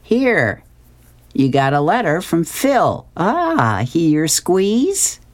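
A sweet, chatty comic-strip camp counselor, put on by a reader. The voice sits high and moves at an unhurried pace, full of friendly sympathy. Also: wildly swooping pitch, no room sound, full character voice. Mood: chirpy, sympathetic.

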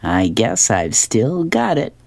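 A woman's voice: a bass voice, wildly swooping pitch, slow, vocal fry, darkish tone, exaggerated voice. A woman voicing a smug, contented husband from a comic strip. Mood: self-satisfied.

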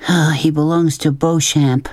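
A narrator voicing a quiet, sad Southern boy in a children's audiobook, soft and subdued, with a Southern US accent. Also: medium pitch, little pitch movement, unhurried, no echo, pitched above the performer's own voice, natural voice.

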